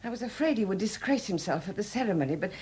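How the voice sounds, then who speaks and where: An actress in a 1970s radio drama playing a weary, flustered sculptress, newly widowed and proud, speaking candidly in hesitant, halting phrases. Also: female voice, mid-range voice, lively intonation, darkish tone, close to an everyday voice. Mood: candid, regretful. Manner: hesitant, halting, repeating words. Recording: noisy old 1970s radio recording.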